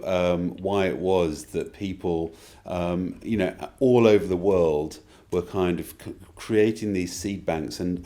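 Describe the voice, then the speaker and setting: A man with a deep, mellow voice. He talks as a writer in a casual interview, thoughtfully and haltingly, searching for his words. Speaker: writer interviewed about an audio drama.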